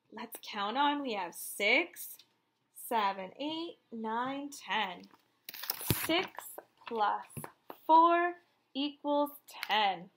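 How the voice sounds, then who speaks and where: A woman's voice: high voice, a bit bright. A woman in her thirties reading aloud and counting for young children as a teacher, in an encouraging, sing-song way.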